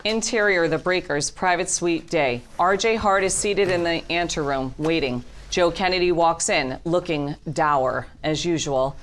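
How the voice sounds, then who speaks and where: A woman narrating a script's stage directions in a deliberate, matter-of-fact way. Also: on the low side, a little hiss or hum.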